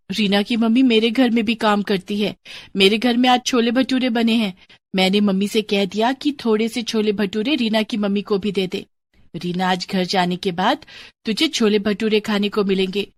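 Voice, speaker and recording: A woman's voice: fairly high pitch, no room sound, clean studio sound, natural voice. A woman voicing a snobbish, gossiping schoolgirl in a moral story, with a condescending, taunting manner. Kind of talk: acted dialogue in a moral story.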